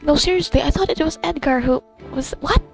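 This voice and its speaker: A young woman comments casually as she plays a game, rambling and expressive. Her voice is high and creaky, with faint game music behind it. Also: a little fast. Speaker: gaming streamer. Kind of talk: casual game playthrough reaction.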